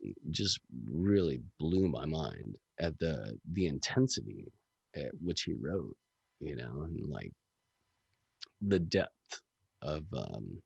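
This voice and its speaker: A deep, gravelly man with a husky edge, chatting loosely as a podcast guest. His pitch swings widely as he rambles through fillers and curses. The sound is dry and close. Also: big pitch swings, slow, a little mellow, no echo, muffled recording. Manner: rambling, swearing, many fillers. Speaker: guest musician. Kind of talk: casual podcast chat.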